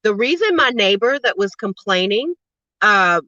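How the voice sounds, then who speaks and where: A woman in casual conversation, telling her side bluntly and with profanity in a naturally loud voice. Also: mid-range voice, sing-song, unhurried, no room sound, muffled recording. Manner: blunt, profane, emphatic storytelling; says she has a naturally loud voice. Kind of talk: casual chat on a livestream.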